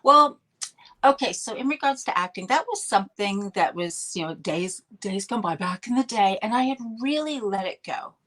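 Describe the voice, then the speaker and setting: A woman's voice: fairly low pitch, a bit bright. A middle-aged woman with a Canadian accent, chatting as a podcast guest in an upbeat, laughing way. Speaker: podcast guest, healer and mentor. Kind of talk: casual interview chat.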